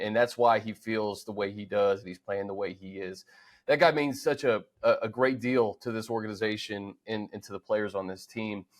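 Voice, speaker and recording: An American man hosting a conversational sports show, speaking in a halting way and repeating words as he gathers his thoughts. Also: mid-range voice. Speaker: sports podcast host.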